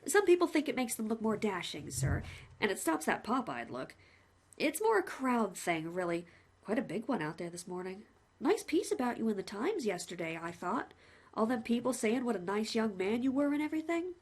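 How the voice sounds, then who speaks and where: A woman voicing a cheerful, chatty male hangman who talks shop politely and brightly. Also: sing-song, moderate pace, husky, heavily acted. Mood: cheery. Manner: cheerful, chatty, higher-pitched.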